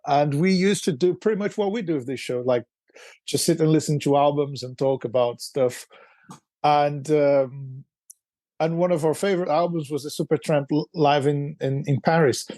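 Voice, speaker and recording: A man's voice: on the high side, normal speed, mellow, no room sound. A man from Brazil co-hosting a podcast in casual chat, hesitant with fillers and restarts. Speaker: podcast co-host. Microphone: video call.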